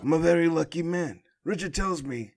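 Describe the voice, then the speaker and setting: A man's voice: a little high, normal speed, faint music behind, lightly acted. A man voicing an oily, smug crime lord who is suave and pointed in a comic book drama.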